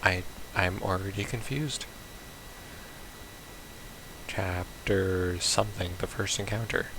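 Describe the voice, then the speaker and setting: A man's voice: sits low, fairly even intonation, slow, vocal fry, noisy background. A man playing a visual novel, reading its text aloud casually with baffled, blunt asides. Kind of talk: casual let's play, reading game text aloud with confused reactions.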